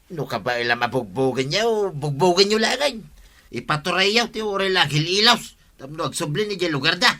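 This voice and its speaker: A man's voice: sits high, lively intonation, brisk, pushed and bright, heavily acted. An actor with an Ilocano accent playing a blunt, meddling village man in a comedy radio drama. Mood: scheming.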